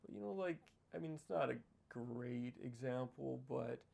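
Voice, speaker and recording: A man with a very gravelly, fry-heavy voice hosts a relaxed interview. He keeps the guest going with quick, encouraging affirmations and easy follow-up thoughts. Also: medium pitch. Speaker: interviewer host. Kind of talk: casual interview.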